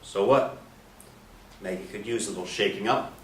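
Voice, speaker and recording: A man's voice: on the low side, moderate pace, fairly bright tone, natural voice. A man playing a sly priest who teases and provokes, in a live reading of a stage play. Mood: needling. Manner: teasing, provocative. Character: Father Frank, sly, provocative male priest, needling others. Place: echoey indoor stage or hall. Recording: echoey room, distant mic, faint hiss.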